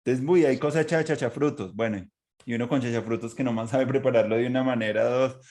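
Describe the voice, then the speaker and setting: A man's voice: mid-range voice, fast talker, darkish tone. A man with a Colombian accent hosting a casual conversation, moving through long, loosely linked trains of thought. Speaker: interview host.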